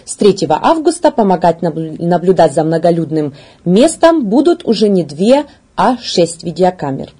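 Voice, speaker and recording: A woman's voice: lowish voice, big pitch swings, normal speed, dark tone, slightly rough. A woman in her thirties from Ukraine, presenting scripted news in a studio. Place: small indoor video studio.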